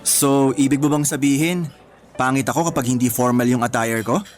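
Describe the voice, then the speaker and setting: An actor playing a gentle, sincere young man in love in a Filipino radio romance, earnest and lightly teasing. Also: mid-range voice, steady tone, normal speed, natural voice. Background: music.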